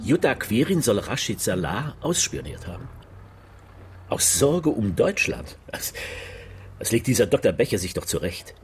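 A man's voice: on the low side, sing-song, normal speed, a little hiss or hum. An actor playing a reflective male police investigator in a radio drama, speaking in a thoughtful, conversational way. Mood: pensive. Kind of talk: German radio drama acting.

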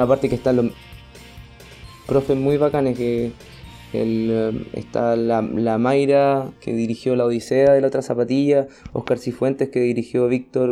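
A man's voice: mid-range voice, dark tone. A man with a Chilean accent talking casually as an interview guest, in an informal, colloquial and hesitant way. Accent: Chilean Spanish. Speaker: podcast and theatre artist interviewed as guest.

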